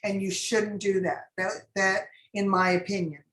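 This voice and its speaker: A woman's voice: medium pitch, flat delivery, normal speed, a bit bright, echoey room, a little hiss or hum. A woman casting director advising actors in a class, chatty and rambling with many fillers.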